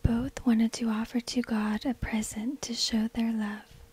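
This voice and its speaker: Breathy whispering woman, slow ASMR storyteller. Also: fairly high pitch, little pitch movement. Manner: slow, hushed, deliberate phrasing. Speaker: narrator. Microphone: close binaural microphone.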